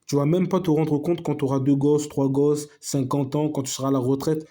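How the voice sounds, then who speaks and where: A man's voice: on the high side, level tone, moderate pace, mellow. A man giving a casual opinion monologue to his viewers, emphatic and repetitive, full of slang and asides to his friends. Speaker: video host.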